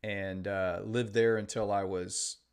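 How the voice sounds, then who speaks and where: Relaxed Midwestern man, low voice. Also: slow, smooth. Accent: mostly Midwestern American, slight Texan. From Illinois, later Texas. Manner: relaxed, reads viewer chat aloud and answers. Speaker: day trader streaming live. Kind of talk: casual live-stream chat.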